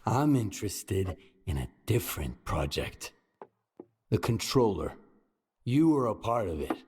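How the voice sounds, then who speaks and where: A man's voice: fairly low pitch, sing-song, unhurried, a little mellow, husky, in a large hall, full character voice. An actor playing a terse, determined young male mercenary wanderer in a video game, stoic and sober in manner. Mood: stoic, guarded. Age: young adult. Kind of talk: video game cutscene acting.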